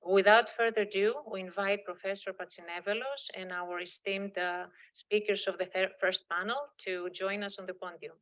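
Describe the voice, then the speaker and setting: A woman's voice: medium pitch, fairly even intonation, moderate pace, hardly any pauses, fairly bright tone. A woman hosting an event, reading a scripted announcement of the program. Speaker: event host. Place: conference hall.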